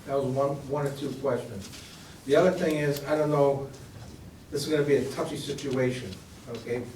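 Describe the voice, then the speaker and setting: A man's voice: medium pitch, steady tone, brisk, slight background noise. Man, plain middle voice, blunt questions called from the floor of a roomy hall. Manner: blunt, loud, speaking from his seat. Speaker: resident at public comment. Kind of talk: public comment questions. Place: township meeting hall. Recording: echoey room from far off.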